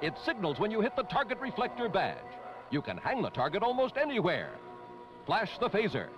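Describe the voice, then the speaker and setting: Man, 1970s toy commercial voice-over, punchy and salesy, very animated pitch. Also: brisk, rough recording. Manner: upbeat salesy, punchy delivery. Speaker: commercial announcer. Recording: old tv ad with music bed.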